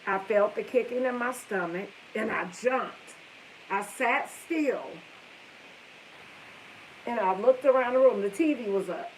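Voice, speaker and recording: A woman's voice: on the high side, unhurried, long pauses, a little hiss or hum, rough recording. Chatty woman in her fifties telling a story. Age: 50s. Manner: chatty, wanders off topic, talks to viewers and family. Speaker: live streamer telling a story. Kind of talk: casual storytelling to viewers.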